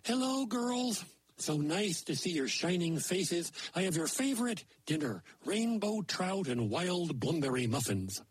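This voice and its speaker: A man's voice: fairly high pitch, sing-song, measured pace, few pauses, husky, dry recording, heavily acted. A prim, sugary mother addresses her daughters in a lilting, raised voice, as a man plays her. There is a slight husk under it. The recording is dry and close. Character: prim, sweet mother of two girls.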